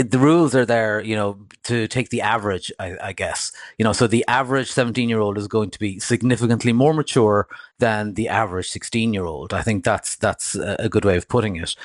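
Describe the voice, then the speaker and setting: Irish guy on a radio interview, lowish voice, dry close sound, reasoned way of arguing. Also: dry recording. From rural County Cork, Ireland. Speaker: motoring editor, interview guest. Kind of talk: live radio discussion.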